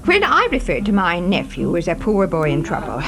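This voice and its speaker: A woman's voice: fairly low pitch, wildly swooping pitch. An actress playing a fussy, imperious, hard-drinking society aunt in her fifties in a 1940s radio drama. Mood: indignant. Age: 50s. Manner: brisk, imperious, fussy, flustered asides. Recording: vintage 1940s radio broadcast, music behind.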